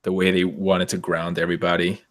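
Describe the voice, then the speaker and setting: Male podcast co-host, low-pitched voice, informal and slightly hesitant delivery. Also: little pitch movement, fairly quick. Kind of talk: casual chat.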